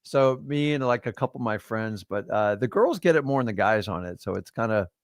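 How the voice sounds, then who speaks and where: Man, middle-aged, casual host chat, rambling and animated, dry close recording. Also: on the low side, brisk, no echo. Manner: rambling, animated storyteller with many fillers. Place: studio livestream.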